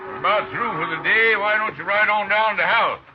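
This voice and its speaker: A man's voice: sits high, a little fast, close to an everyday voice. Hearty, firm Western rancher played by a man. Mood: hearty, calling out. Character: green young rancher, hearty but stubbornly firm boss. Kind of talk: old-time Western radio acting. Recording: dull, noisy old radio recording.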